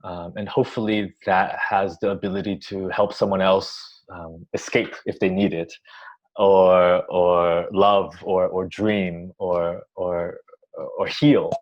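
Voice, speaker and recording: A man answers interview questions slowly and reflectively. He circles back, repeats words and hesitates often. The recording is dull and lo-fi. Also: deep voice, flat delivery. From Taiwan, raised in the US. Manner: thoughtful, halting, many restarts and ums. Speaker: orchestra music director. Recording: dull lo-fi sound.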